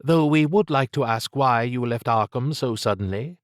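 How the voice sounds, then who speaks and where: A male narrator voicing a smooth, tactful female investigator in an audiobook, with a diplomatic manner. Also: mid-range voice, normal speed, no room sound, studio quality, voice pushed up, natural voice. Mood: smooth, placating. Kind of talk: audiobook character dialogue.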